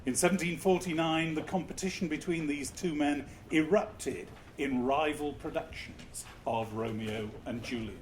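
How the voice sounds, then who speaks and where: A man's voice: a little high, moderate pace, hardly any pauses. A man reading a scripted introduction aloud as narration. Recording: faint background noise.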